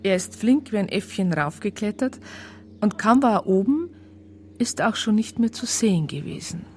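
A woman's voice: mid-range voice, expressive, normal speed, a little mellow, slight background noise, lightly acted. A woman playing a police colleague who recounts a case in a matter-of-fact, colloquial way with a Bavarian accent. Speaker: narrator. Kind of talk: first-person conversational narration in radio crime drama.

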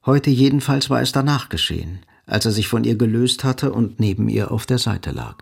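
A man's voice: mid-range voice, normal speed, creaky, breathy edge. A man narrating a German radio drama in a scripted, literary style.

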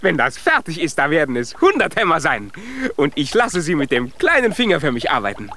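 An actor playing a proud, cheerful young dwarf inventor in a children's radio play, speaking with boastful emphasis. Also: male voice, a little high, wildly swooping pitch, brisk, pushed and bright, a little hiss or hum, exaggerated voice. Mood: proud, excited. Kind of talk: children's radio play acting with some singing.

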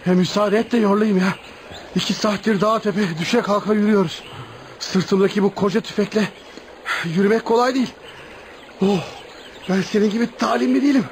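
An actor playing a gentleman hunter who speaks colloquially and eagerly in a Turkish radio play. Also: sits high, fairly even intonation, fairly quick, pauses often. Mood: weary. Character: tired, curious gentleman hunter from town. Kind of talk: Turkish radio theatre acting. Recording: noisy radio drama recording, outdoor ambience.

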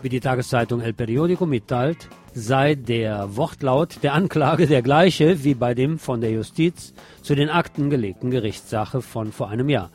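A man's voice: medium pitch, moderate pace. A man presenting scripted local news with opinionated commentary, in the role of a radio show host. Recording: music playing behind the voice.

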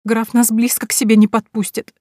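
A woman voicing a tearful young female impostor who pleads in a high, sobbing voice. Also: level tone, fairly quick, dark tone, no room sound, close to an everyday voice. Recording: dry close recording.

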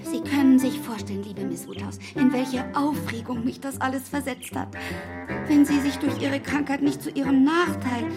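An actress voicing a fussy, kindly spinster aunt who rambles on breathlessly in a radio play. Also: female voice, on the high side, natural voice.

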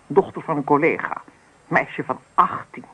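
Husky, indignant mother. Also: sits low, normal speed, fairly bright tone. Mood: scandalized. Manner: exclamatory, gossipy, nagging. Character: indignant, fretting mother of a grown son. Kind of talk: naturalistic radio play acting.

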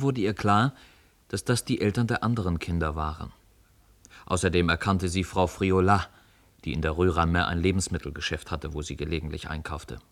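Deep-voiced male radio play narrator.

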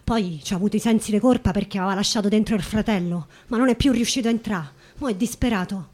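An actress playing a brisk neighbourhood woman passing on wartime news, with a Roman accent, in a radio drama. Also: medium pitch, a little mellow, lightly acted. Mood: urgent.

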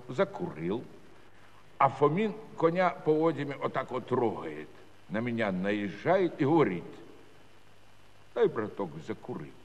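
A man's voice: medium pitch, darkish tone, long reverb tail. An actor playing a proud, earnest village chairman, telling his story in a folksy in a stage musical. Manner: folksy rural storytelling with dramatic pauses. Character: Cossack village chairman recounting a bandit attack, earnest and proud. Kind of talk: theatrical dramatic monologue in a musical play. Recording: 1979 studio musical recording.

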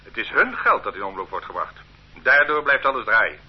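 An actor playing a stern, condescending police officer who lectures a detainee in an authoritarian way in a radio drama. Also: male voice, mid-range voice, sing-song, brisk, husky. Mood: lecturing, condescending. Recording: muffled old radio play recording.

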